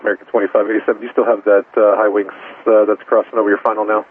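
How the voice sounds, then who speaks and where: Rapid, flat-toned male air traffic controller. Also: lowish voice, breathy edge, no echo. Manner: clipped, procedural phraseology. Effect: aviation radio. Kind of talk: radio instructions to aircraft. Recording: tinny narrowband radio with faint static.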